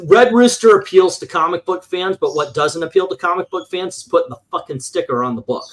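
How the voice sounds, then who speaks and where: A man with a voice slightly higher than average. He co-hosts a casual livestream and talks bluntly, with loose, sweary, conversational phrasing. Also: fairly quick. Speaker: livestream co-host. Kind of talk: casual chat.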